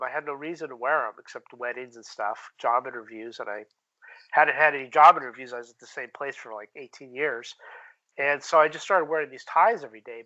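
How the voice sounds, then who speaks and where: Guy with a sharp bright voice chatting casually in an interview, candid, muffled lo-fi recording. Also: mid-range voice. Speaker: interview guest, filmmaker. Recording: dull lo-fi call-quality audio.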